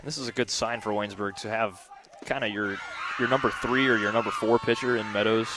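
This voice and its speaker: Guy with a lowish voice chatting as color commentator at a softball game. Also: steady tone, a little fast, noisy background. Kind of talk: conversational sports commentary. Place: outdoors at a ballfield, on a platform by tables.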